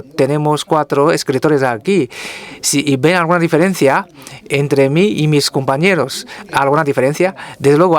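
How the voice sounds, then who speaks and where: A man interpreting for a guest author at a literary talk, careful and halting, often restarting his words. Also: medium pitch.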